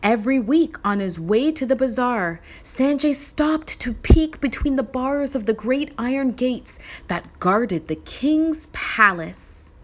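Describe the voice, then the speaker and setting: A woman with a high voice reads a children's story aloud. She narrates expressively and deliberately, taking her time over each phrase. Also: expressive.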